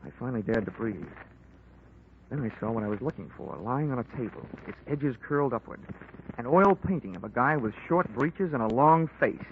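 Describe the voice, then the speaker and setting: A man's voice: medium pitch, lively intonation, brisk, slight background noise, natural voice. An actor playing a hard-boiled male insurance investigator who narrates his own case in a 1940s radio drama, dry and wisecracking. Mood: terse narration. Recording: muffled old radio broadcast with music.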